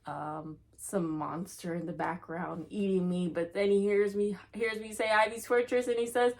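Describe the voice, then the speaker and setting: A woman's voice: medium pitch, fairly even intonation, moderate pace, a little mellow. A woman hosting a children's read-aloud, chatting playfully to young listeners and reading aloud.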